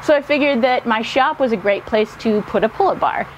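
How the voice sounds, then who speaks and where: A woman in her thirties narrates a workshop vlog in a fairly high voice. Her delivery is upbeat and addressed straight to viewers. There is some noise behind her. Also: a little fast, poor audio. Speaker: host of a DIY workshop channel.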